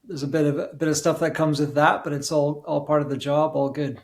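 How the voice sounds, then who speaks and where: A British-accented man in his forties talks through his career with easy, chatty storytelling. His voice sits a touch higher than most men's. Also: flat delivery, fairly quick, darkish tone. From England. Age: 40s. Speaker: conference organizer. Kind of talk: podcast interview.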